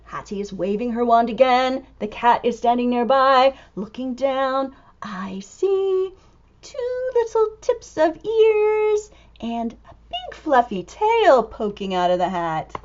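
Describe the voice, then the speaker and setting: A woman reading a picture book aloud to young children in an expressive, exclaiming way, drawing out words and dropping into hushed asides. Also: high-pitched, slow pace, muffled recording.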